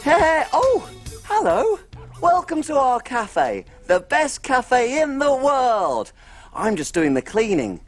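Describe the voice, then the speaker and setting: A woman's voice: high-pitched, big pitch swings, slow, faint music behind, heavily acted. A woman playing a tiny, playful, childlike cafe cook in a preschool television show, full of exclamations. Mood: cheerful.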